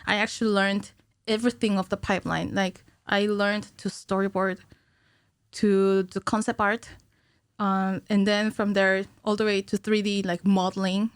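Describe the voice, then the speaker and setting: A woman's voice: mid-range voice, flat delivery, moderate pace, smooth, no echo. Young woman chatting, dry studio sound. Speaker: podcast guest, cinematic animator. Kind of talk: casual conversational interview. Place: small curtained podcast studio. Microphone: studio microphone on stand, close.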